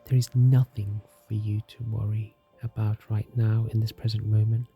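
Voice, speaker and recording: A man leads a guided meditation in a soft, soothing, scripted narration, calm and gentle, leaving long unhurried pauses. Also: on the low side, lo-fi sound. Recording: music behind the voice. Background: soft music.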